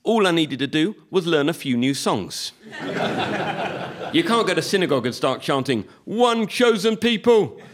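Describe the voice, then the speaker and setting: A man with a Liverpool accent performing a stand-up comedy monologue with a wry, deadpan delivery. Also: a little high, normal speed, crowd noise. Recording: live recording with audience noise.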